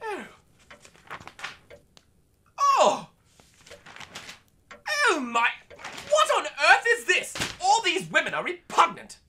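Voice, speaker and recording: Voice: very high-pitched, wildly swooping pitch, takes it slowly, long pauses, bright, forceful tone, slight background noise, exaggerated voice. An actor playing a pompous, panicky and callous lord of the manor in a comedy audio drama, with a British accent and florid, theatrical speech. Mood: exasperated, disdainful.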